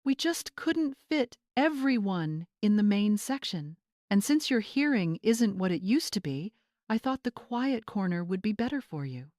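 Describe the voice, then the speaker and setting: A woman's voice: mid-range voice, big pitch swings, a little slow, darkish tone, no echo, studio quality. Catty, condescending young bride, read by a woman. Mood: smug, fake sweet. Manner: syrupy mockery turning to cold commands.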